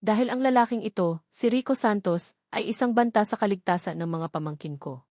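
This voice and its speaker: A woman plays an earnest young Filipino mother making a confession in a narrated love story. The pitch is medium and the sound is dry and close. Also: brisk, darkish tone, no echo, lightly acted. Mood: earnest, pleading.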